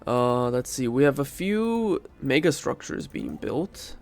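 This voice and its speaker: A man's voice: medium pitch, very animated, takes it slowly, soft background music. A man with a stuffy nose from a cold, giving casual commentary while playing a strategy game and thinking aloud as he goes. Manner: thinking aloud, rambling, self-correcting. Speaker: game streamer.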